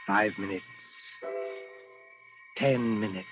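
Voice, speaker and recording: Low, slow male radio narrator. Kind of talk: suspenseful scripted radio narration. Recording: muffled old radio, very loud music.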